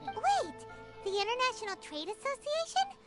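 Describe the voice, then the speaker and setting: A woman voicing a small, excitable, childlike girl companion with a squeaky voice in a video game. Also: moderate pace. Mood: curious. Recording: loud game music underneath. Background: loud children's-style game music.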